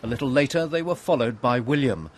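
A man's voice: medium pitch, brisk, background noise. A man reading a scripted news voice-over as a reporter.

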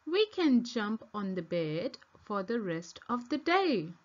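A woman's voice: mid-range voice, very animated, slow, muffled recording. A woman voicing an insistent, coaxing young male monkey playmate from a children's storybook. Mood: pleading. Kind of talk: children's storybook read-aloud.

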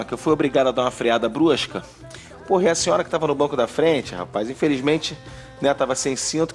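A man playing a gravelly, careless van driver. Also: fairly high pitch, natural voice. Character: rough male van driver, crude and careless. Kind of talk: sketch comedy. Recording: music underneath.

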